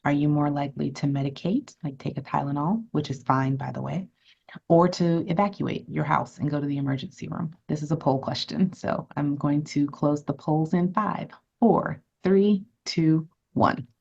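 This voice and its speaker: A woman's voice: sits low, steady tone, normal speed, creaky, darkish tone, slightly rough, highs cut off. A woman physician giving an educational webinar to patients, friendly and conversational as she answers questions and runs polls.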